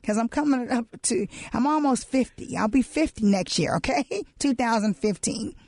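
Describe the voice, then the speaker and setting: A woman's voice: on the high side, expressive, moderate pace, darkish tone, dry recording. A woman in her forties co-hosting a radio talk show, emphatic, repeating key words and laughing often. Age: 40s. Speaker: radio co-host. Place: radio studio.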